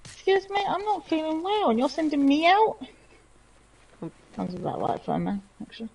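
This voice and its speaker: Teasing woman co-player, bright higher voice, heard from afar over voice chat with faint noise. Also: very animated, vocal fry, far from the mic, rough recording. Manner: teasing, playful, quick to laugh. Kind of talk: casual gaming chat.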